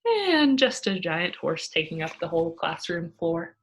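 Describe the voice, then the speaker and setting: A woman narrating a children's storybook aloud in an expressive way. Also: on the low side, unhurried. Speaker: storybook reader.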